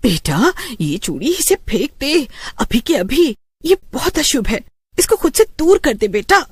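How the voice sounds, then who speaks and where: A woman's voice: high-pitched, very animated, measured pace, pitched above the performer's own voice. A woman voicing an anxious, protective village mother who pleads urgently with her son in a horror story. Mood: pleading worry.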